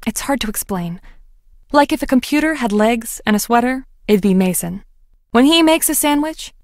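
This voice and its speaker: A woman's voice: medium pitch, no room sound, studio quality, close to an everyday voice. A woman acting a young female research scientist in a video game, conversational, wry and guarded.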